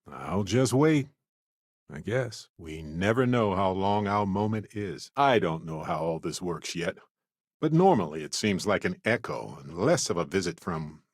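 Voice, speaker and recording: A man's voice: low-pitched, breathy edge, no echo, clean studio sound, full character voice. A male actor voicing a charming, good-humored space explorer in a video game, with a glib, playful, conversational delivery and a theatrical streak. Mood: wry, then reflective. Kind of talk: video game voice acting, companion dialogue.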